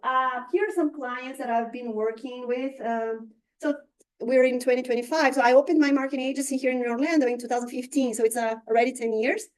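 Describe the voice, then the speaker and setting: A woman with a Brazilian accent leads a training session in a high, light voice. She stops often, fills gaps with small hesitations and searches for the right English word. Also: a little mellow, rough recording. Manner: halting, searches for words with many fillers. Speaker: real estate marketing trainer. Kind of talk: live training presentation.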